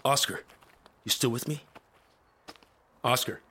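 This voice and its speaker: An actor playing a weary, determined cybernetic veteran soldier in an audio drama, speaking tersely with a gravelly, husky voice. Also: male voice, takes it slowly, sharp tone, soft background music. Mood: urgent, concerned. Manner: terse, low-key military delivery.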